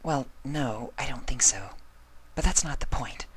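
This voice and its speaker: A man voicing a timid, gentle female pony who talks in a hushed half-whisper. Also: medium pitch, unhurried, husky, pitched above the performer's own voice.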